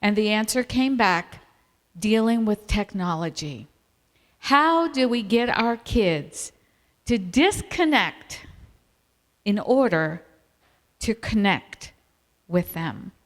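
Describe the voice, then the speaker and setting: A woman's voice: medium pitch, expressive, slow pace, pauses often. A middle-aged or older American woman giving a religious family seminar talk to a live audience. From Appalachian Virginia, United States. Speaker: seminar speaker. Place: large hall at a camp meeting. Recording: reverberant hall sound system.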